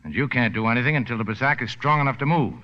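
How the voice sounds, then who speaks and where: An actor playing a tough, cynical boat skipper with dry, laconic sarcasm in a 1940s radio drama. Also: male voice, mid-range voice, very fast, close to an everyday voice. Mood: tough, businesslike. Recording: dull old radio recording.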